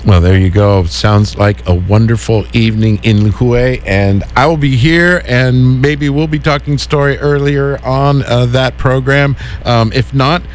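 A man hosting a community radio call-in show, talking in a relaxed, hesitant way with many fillers. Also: mid-range voice, unhurried, hardly any pauses, slight background noise. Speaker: radio host.

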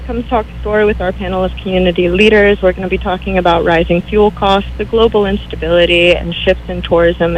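A woman calling in to a radio show to promote an event, speaking in an upbeat, brisk way. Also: medium pitch, a little hiss or hum, poor audio. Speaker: event organizer, caller. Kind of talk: phone-in promotion. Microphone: phone line.

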